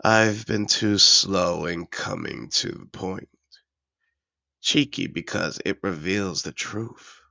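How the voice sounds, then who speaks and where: A man playing a seductive, possessive dragon in human disguise in an intimate fantasy audio roleplay, teasing and courtly in a slow, gravelly murmur. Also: pushed and bright, no room sound, full character voice. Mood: sly, tender. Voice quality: very deep, gravelly. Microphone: close, dry microphone.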